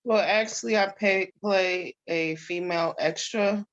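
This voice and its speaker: A woman's voice: mid-range voice, a little slow. A woman from New York, an actress, answering interview questions casually. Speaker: stage actress being interviewed. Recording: dull, lo-fi audio.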